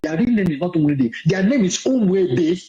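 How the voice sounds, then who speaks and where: Husky insistent man on a lo-fi clip. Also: high-pitched, expressive, normal speed, mellow. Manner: insistent, repetitive, emphatic. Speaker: speaker in a played clip. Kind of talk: impassioned speech. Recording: lo-fi played clip.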